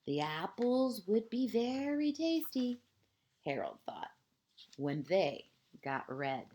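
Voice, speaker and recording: A woman reading a children's picture book aloud like a kindergarten teacher, expressive and deliberate, with dramatic pauses and emphasis for young listeners. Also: mid-range voice. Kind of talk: children's storybook read-aloud.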